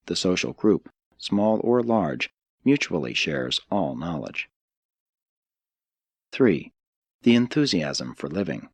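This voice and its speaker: A man with a low, slightly rough voice narrates a spiritual text in a formal, measured way. The sound is dry and close, with no room around it. He reads earnestly, as a scripted audiobook narrator. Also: no echo.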